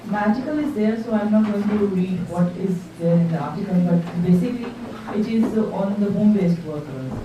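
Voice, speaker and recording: A woman's voice: medium pitch, fairly quick, hardly any pauses. Woman from Pakistan, distant echoey recording. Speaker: workshop presenter. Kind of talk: presenting her paper in a discussion. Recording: distant dull mic in an echoey room with background noise.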